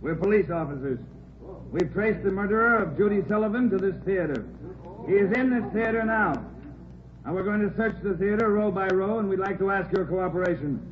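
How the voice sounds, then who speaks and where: An actor playing a police officer making a firm, measured announcement, in 1940s radio drama style. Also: male voice, sits high, crowd noise, lightly acted. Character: police officer addressing a theater audience. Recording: dull old radio recording with hiss.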